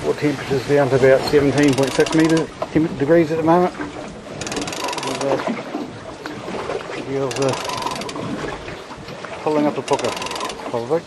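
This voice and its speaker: A man's voice: medium pitch, fairly even intonation, moderate pace, long pauses. A man giving casual running commentary as an amateur angler filming his fishing. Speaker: amateur angler narrating own fishing video. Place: outdoors on a small boat at sea. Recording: very noisy outdoor boat recording. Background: boat and water noise.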